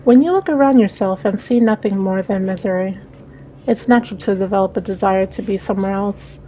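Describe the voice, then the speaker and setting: A woman's voice: medium pitch, a little fast, mellow, lightly acted, rough recording. A woman voicing a lonely, wistful female passenger in a visual novel, musing and hesitant. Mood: pensive. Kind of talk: visual novel read-aloud.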